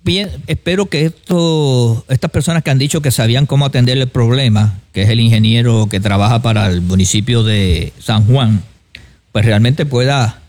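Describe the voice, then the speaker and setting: A male co-host with a Puerto Rican accent and a voice of average pitch. He comments conversationally, with tentative, measured phrasing, as part of a casual studio talk show. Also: a little mellow.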